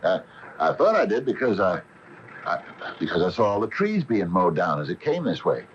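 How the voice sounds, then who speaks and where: Low-voiced, uneasy radio-play man. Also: big pitch swings, moderate pace. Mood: shaken. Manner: stammers when rattled. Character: uneasy small-town store owner man, baffled and stammering. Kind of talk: radio drama acting. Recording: muffled, noisy 1980 radio broadcast tape.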